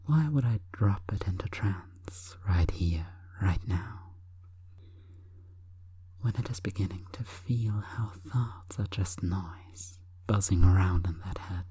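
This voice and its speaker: A woman hypnotist delivering an intimate sleep hypnosis monologue in a breathy, coaxing, deliberate whisper. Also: rumbling low, warm tone.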